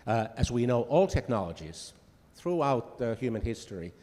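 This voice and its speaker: A man from Finland giving formal welcome remarks as a diplomat at a conference, pausing often with filler sounds and restarting phrases. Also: medium pitch, big pitch swings, dark tone, in a large hall. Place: conference hall.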